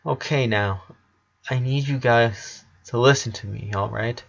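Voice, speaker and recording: A man voicing a calm, gentle eleven-year-old boy who speaks softly and soothingly while hiding his grief. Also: mid-range voice, a little slow, creaky. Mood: calm, firm. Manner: serene, soft, soothing. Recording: dull lo-fi recording.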